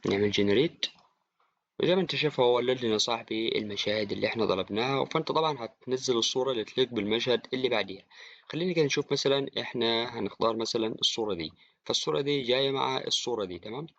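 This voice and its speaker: A man with an Egyptian accent giving an informal software tutorial, talking to the viewer like a friend. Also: medium pitch, rapid-fire, no room sound. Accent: Egyptian Arabic. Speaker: tutorial presenter. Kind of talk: casual screen-recorded software tutorial. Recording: dry, slightly dull, with clicking.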